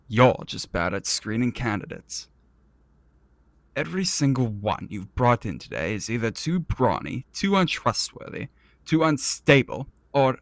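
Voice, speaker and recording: An actor playing an arrogant, vain and petulant prince in a fantasy audio roleplay, speaking in a pompous, theatrical and condescending manner. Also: male voice, medium pitch, fairly bright tone, dry recording. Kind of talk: scripted ASMR roleplay audio drama.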